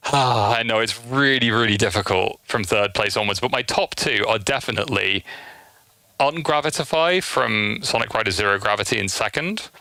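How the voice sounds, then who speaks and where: A man's voice: fairly low pitch, moderate pace, sharp tone, dry recording. A man hosting a live game stream, chatting casually and effusively with his viewers and swearing freely. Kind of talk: casual livestream chat.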